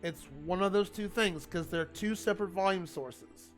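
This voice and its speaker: A man streaming a video game, talking in a loud, rambling, chatty way to his viewers. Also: high-pitched, moderate pace, faint music behind. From Italian family. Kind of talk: casual gaming livestream chat.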